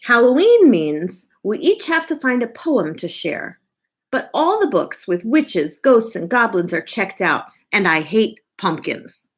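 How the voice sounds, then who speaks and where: Lady librarian reading a kids book out loud, slow pace, voice goes up and down a lot. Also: mid-range voice, breathy edge. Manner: animated, drawn-out, deliberate delivery. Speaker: children's librarian reading aloud. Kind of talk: expressive picture book read-aloud for children.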